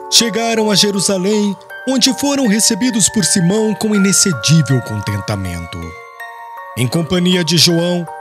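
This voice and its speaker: A man narrating a religious audiobook in a solemn, formal storytelling style. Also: sits high. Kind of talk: scripted literary religious narration. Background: glockenspiel-like music.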